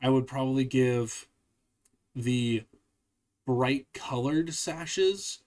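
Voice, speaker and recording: A man's voice: medium pitch, drawn-out words. Opinionated man hosting a casual interview. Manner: animated, opinionated, self-deprecating. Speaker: podcast host.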